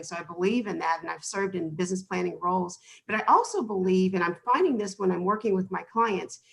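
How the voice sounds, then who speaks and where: A woman speaks as a panelist in an earnest, measured and gracious way. Her voice sits at a middle pitch and crackles with fry. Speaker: business planning consultant, conference speaker. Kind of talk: prepared panel remarks. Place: online video call.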